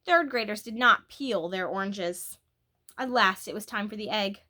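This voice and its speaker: A woman reading a children's book aloud as a storyteller, in an expressive, animated way that leans on key words. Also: mid-range voice, moderate pace.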